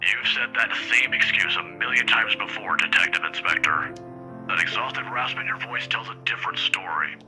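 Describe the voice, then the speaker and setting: An actor playing a mild-mannered, caring middle-aged male professor in a visual novel, gentle and earnest through a telephone filter. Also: lowish voice, moderate pace, poor audio. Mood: concerned, chiding. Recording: phone-filtered voice over music.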